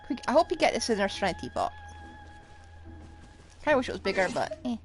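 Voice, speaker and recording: A woman streamer chats casually and playfully over her gameplay. Her voice is fairly high with lively movement in pitch. Faint music sits in the background. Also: quick pace. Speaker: live streamer playing a game.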